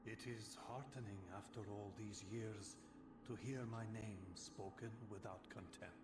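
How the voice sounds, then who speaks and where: A man playing an ancient male vampire guardian in a fantasy game, solemn and sorrowful yet compassionate. His formal, measured speech rings in a roomy echo over clear background noise. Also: lowish voice, steady tone, close to an everyday voice. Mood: moved, gentle. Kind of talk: dramatic video game voice acting.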